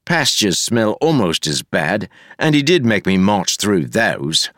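A man voicing a cheeky, grumbling young male constable with rough, folksy speech. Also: lowish voice, sing-song, slow pace, fairly bright tone, no room sound, studio quality, full character voice.

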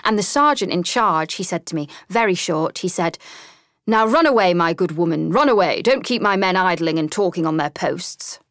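A woman voicing an excitable, cheeky female mole in a storybook reading, telling tales with glee. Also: on the low side, moderate pace, no room sound, studio quality. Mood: gleeful. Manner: chatty, gleeful storytelling. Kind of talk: audiobook character reading.

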